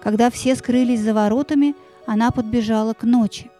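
Mellow, high-voiced woman narrating a fairy tale. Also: fairly even intonation, brisk, faint music behind. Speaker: narrator of an audio play.

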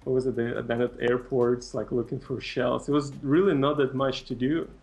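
A young man in his twenties with a gravelly, creaky voice, chatting as a podcast guest. He speaks haltingly, often restarting sentences and pausing mid-thought. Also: mid-range voice, little pitch movement, darkish tone, soft background music. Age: 20s. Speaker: podcast guest, concept artist. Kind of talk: casual chat.

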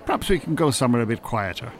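An actor playing a smooth Irish con man posing as a stiff scientist, polite, formal and easily flustered, in radio drama. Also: mid-range voice, very animated, rapid-fire, some chatter behind. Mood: probing, earnest.

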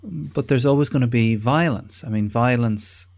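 A man's voice: mid-range voice, normal speed, darkish tone. A man hosting a podcast interview in a wry, discursive, conversational way, with some casual swearing. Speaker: podcast host.

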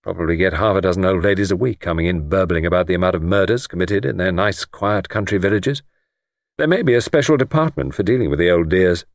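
A man playing a polite, sceptical English policeman in an audiobook, speaking in a measured, laconic and courteous way. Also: deep voice, no room sound, clean studio sound. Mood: privately amused. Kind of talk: audiobook character reading.

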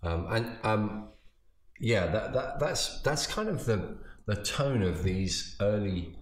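A man, a pastor, casually summarizing his sermon, often halting and repeating words as he thinks. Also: lowish voice, animated. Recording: roomy echo.

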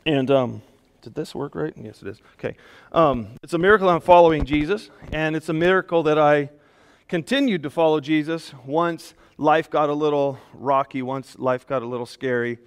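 A man preaching a sermon as pastor, speaking loudly in a conversational way with casual asides, into a microphone in a church. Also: fairly high pitch, moderate pace, clear, resonant tone. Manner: loud, conversational, self-deprecating asides. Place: church sanctuary. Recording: long hall reverb in a church.

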